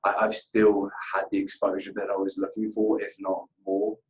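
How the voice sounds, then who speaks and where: Deep-voiced man giving earnest interview answers. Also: completely flat delivery, moderate pace, muffled recording. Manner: earnest, repeats himself, often says "you know". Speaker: account manager being interviewed. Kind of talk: workplace interview, conversational answers.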